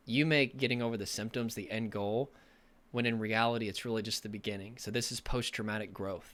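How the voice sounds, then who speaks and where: A young man speaking as a recovery coach, hoarse and losing his voice from illness, talking in an earnest, rambling way with many fillers. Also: on the low side, a bit bright. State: losing his voice, a bit sick. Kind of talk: casual direct-to-camera talk.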